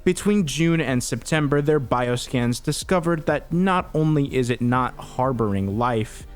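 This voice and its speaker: A man narrating a scripted explainer as a video essayist, telling the story in a measured way with wry, informal asides. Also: mid-range voice, expressive. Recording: music bed behind the voice.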